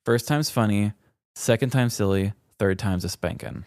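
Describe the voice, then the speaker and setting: A man's voice: on the low side, moderate pace, darkish tone, clear, resonant tone, no echo, clean studio sound. A man co-hosting a podcast in casual, informal chat with a wry way of talking. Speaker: podcast co-host.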